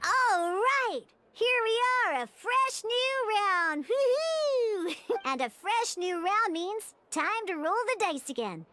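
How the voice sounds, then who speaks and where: A woman playing a small, bubbly, childlike girl companion in a video game, with a squeaky voice and a perky, encouraging way of explaining. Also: sing-song, slow pace, bright, forceful tone, heavily acted. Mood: excited, encouraging. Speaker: game tutorial guide. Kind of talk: animated video game character tutorial dialogue.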